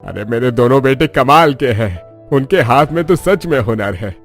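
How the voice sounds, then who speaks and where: A man's voice: medium pitch, animated, moderate pace. A man voicing a plain-spoken older father of grown sons in a cartoon story. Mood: proud, admiring. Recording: background music under the voice. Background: music.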